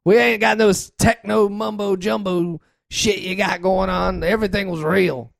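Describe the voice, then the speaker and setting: A man playing an ancient, warty, chain-smoking old woman in an improv comedy scene, loud and grumbling about the old days. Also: sits high, steady tone, moderate pace, creaky, no echo, clean studio sound. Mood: grumpy.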